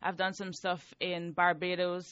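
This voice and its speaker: A young woman in her early twenties from Guyana, a singer guest on a radio interview, soft-spoken and modest. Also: on the low side, flat delivery, measured pace, no room sound, dull audio. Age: early 20s.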